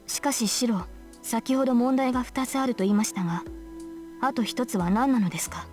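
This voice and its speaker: Woman acting a formal young female knight, very fast, slightly high, serious, visual novel game. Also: darkish tone, soft background music, lightly acted. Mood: questioning.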